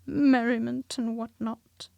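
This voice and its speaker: A woman's voice: fairly high pitch, level tone, takes it slowly, gravelly, a little mellow, dry recording, highs cut off. Woman voicing a sour old storybook donkey, sardonic and glum, close to her own voice. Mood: bitter. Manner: bitter and sarcastic.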